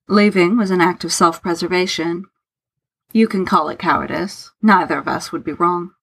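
A woman's voice: medium pitch, a little fast, a bit bright, lightly acted. A woman voicing a guarded, bitter man who speaks in a curt, matter-of-fact, formal and sardonic way, as in an audio story. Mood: calm, careless. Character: Tharke, guarded, bitter, self-deprecating man hiding love.

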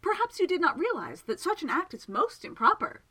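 Fussy mild gent magician, formal and exact, read by a woman; airy voice, lively pitch. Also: brisk, husky, full character voice. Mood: coaxing. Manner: precise, politely formal. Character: prim, even-tempered gentleman village magician.

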